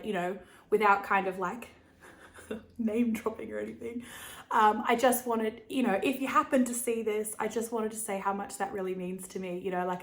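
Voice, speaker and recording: A young woman with an Australian accent, hosting a knitting video podcast in a casual, bubbly chat, her voice a little croaky from laryngitis. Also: medium pitch. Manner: chatty, bubbly, many fillers and giggles.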